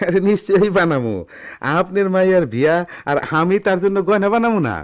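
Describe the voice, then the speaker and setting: An actor playing an honest, humble older goldsmith in a radio drama, plain-spoken and deferential, with a rural Bangladeshi accent. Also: male voice, high voice, lively intonation, quick pace, highs cut off. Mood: warm, obliging. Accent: Bangladeshi rural dialect. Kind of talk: Bengali radio drama acting.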